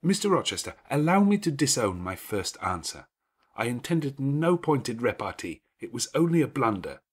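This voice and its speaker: A man voicing a polite, plain-spoken young governess with measured, respectful frankness in an audiobook. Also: mid-range voice, big pitch swings, breathy edge, pitched above the performer's own voice. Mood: frank, apologetic. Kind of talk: dramatic audiobook character dialogue.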